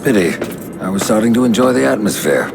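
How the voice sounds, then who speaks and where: An actor playing a grim, sardonic undead horseman in a video game, terse and dry with a gravelly voice. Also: fairly low pitch, lively intonation, fast talker, background noise. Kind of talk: dramatic video game acting. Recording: music in the background.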